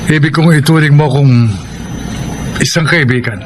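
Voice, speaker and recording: An actor with a Filipino accent playing a kind, wealthy young employer in a radio drama, earnest and courteous. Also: male voice, a little high, normal speed, over loud music, natural voice. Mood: grateful. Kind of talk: Tagalog radio drama acting.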